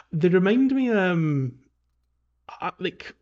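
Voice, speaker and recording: A man hosting a casual video game discussion, speaking in a hesitant, halting way. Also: high voice, very animated, a little mellow, muffled recording. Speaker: podcast host.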